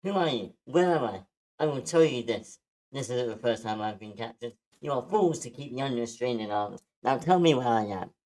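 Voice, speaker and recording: A woman's voice: extremely low pitch, lively intonation, fairly quick, dark tone, low-quality recording. A woman acts out a tough, imperious space-age warrior woman in a screenplay read. She is commanding and haughty, wary of everyone around her. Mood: defiant, demanding. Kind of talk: live screenplay table read. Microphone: remote online meeting.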